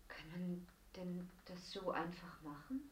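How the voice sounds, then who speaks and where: A woman's voice: lowish voice, fairly even intonation, unhurried, natural voice. A woman acting in a radio play as a scornful, sceptical partner with a sardonic manner. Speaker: actor in a radio drama. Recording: echoey, distant, noisy background.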